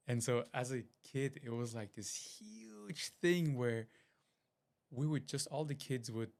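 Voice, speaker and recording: A man's voice: mid-range voice, animated, slow, gravelly, pauses often. Rambling man, podcast host. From Switzerland. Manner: rambling, chatty, with many fillers and restarts. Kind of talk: casual solo podcast intro.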